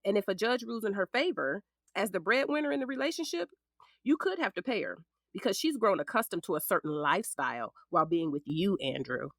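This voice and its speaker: A woman's voice: medium pitch, lively intonation, a little fast, dry recording, studio quality. An actress playing a frank, sassy middle-aged lawyer in a naturalistic audio drama, protective of the ex-husband she represents. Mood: measured, matter-of-fact. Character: frank, sassy female lawyer, protective ex-wife of her client. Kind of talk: naturalistic scripted drama dialogue.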